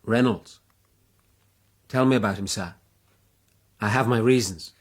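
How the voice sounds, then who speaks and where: An actor playing an earnest, determined young gentleman with an upper-class English accent in a period radio drama. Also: lowish voice, unhurried. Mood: earnest, probing. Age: young adult.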